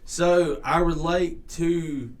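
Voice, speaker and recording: A man's voice: fairly high pitch, steady tone, very slow, slight background noise. A man in his thirties with a Southern accent, chatting casually on a podcast. He talks bluntly and jokes with crude words. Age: 30s. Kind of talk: casual chat.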